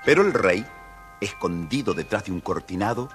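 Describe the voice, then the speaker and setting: A man's voice: lowish voice, expressive, moderate pace, fairly bright tone, soft background music. A man reading a scripted children's story as its narrator.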